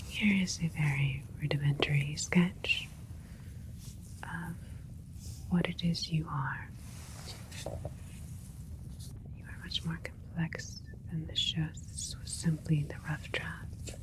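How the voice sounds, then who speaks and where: A woman playing a gentle alien scientist in a whispered ASMR sci-fi roleplay, explaining things in a soothing, clinical way. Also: low-pitched, fairly even intonation, slow pace, pauses often, low-quality recording. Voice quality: breathy whisper throughout. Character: gentle alien scientist doctor, proud of a hybrid creation.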